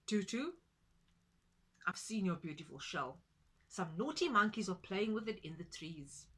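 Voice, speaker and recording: Woman playing a kind pigeon friend, gentle reassuring voice, slow, storybook. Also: medium pitch, big pitch swings, breathy edge, exaggerated voice. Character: kind helpful male pigeon, calm friend.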